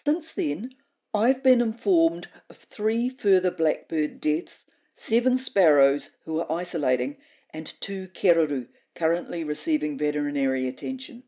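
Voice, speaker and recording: A woman playing a self-important female cat who delivers rules in a formal, measured official-briefing manner for a comedy podcast. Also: deep voice, dull audio, lightly acted. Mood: grave, urgent. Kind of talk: scripted comedy fiction podcast.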